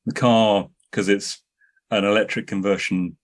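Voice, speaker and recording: A man's voice: lowish voice, little pitch movement, measured pace. A man telling anecdotes as a filmmaker guest in a podcast interview, often restarting his sentences.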